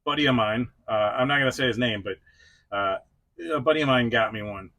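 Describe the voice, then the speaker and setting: A middle-aged man speaks as the host of a casual video review. His voice is bright and forward, at an ordinary male pitch. He is chatty and self-deprecating, talking directly to his viewers in an informal way. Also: fairly quick. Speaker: toy review channel host. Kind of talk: casual vlog-style review to camera.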